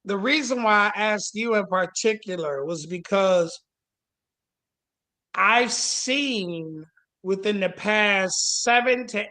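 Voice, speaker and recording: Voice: medium pitch, pushed and bright. A panel host speaking casually and emphatically, with plenty of profanity and street slang. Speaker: host moderating a panel call.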